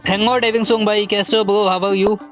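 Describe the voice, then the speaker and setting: An Indian-accented man talks casually to his livestream viewers. His voice is high for a man, the mic is dull and lo-fi, and faint game music plays behind. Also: level tone, brisk. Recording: dull lo-fi stream microphone.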